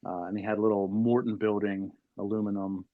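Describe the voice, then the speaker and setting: A man in his forties from Maryland rambles through his stories with plenty of fillers. His voice sits a bit low and sounds dull and lo-fi, with a dry, close feel. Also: flat delivery, brisk, no room sound. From Maryland, USA. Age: 40s. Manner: rambling storyteller, many fillers. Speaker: podcast guest. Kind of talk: casual chat.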